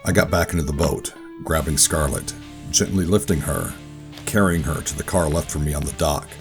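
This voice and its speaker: A man playing a weary rogue operative, gruff but tender, narrating a sci-fi audio drama in a brooding, deliberate way. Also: rumbling low. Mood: brooding, resolute. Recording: music bed under the voice. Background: dramatic music.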